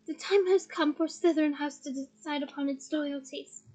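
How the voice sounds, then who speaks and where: A woman playing a stern elderly witch who gives clipped commands. The voice sits high for a woman. It comes from a storybook reading. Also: steady tone, moderate pace, a little mellow, lightly acted.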